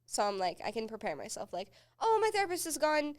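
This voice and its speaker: A woman's voice: high voice, big pitch swings, fast talker. A young woman hosting a podcast, telling casual stories with frequent laughs and swearing. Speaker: podcast host. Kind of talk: casual chat.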